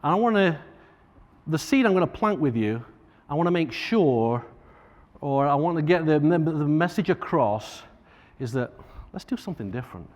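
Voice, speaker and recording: A man with a Northern English accent giving an informal, somewhat halting conference keynote as a charity partnerships manager. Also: on the high side, animated, a little mellow. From Northern England. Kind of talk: conference keynote speech to an audience. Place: large conference room, on stage. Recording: echoey hall reverb.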